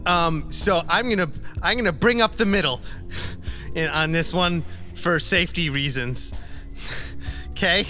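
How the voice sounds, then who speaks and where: A man's voice: high-pitched, a little slow, pauses often, bright, forceful tone, faint music behind. A man playing a tabletop game, improvising casually in a hesitant, rambling way. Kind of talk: casual improvised roleplay chat.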